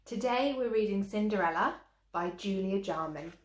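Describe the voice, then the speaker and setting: A woman's voice: medium pitch, expressive, moderate pace. A woman storyteller reading a children's tale expressively, like a teacher to young listeners. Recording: echoey room.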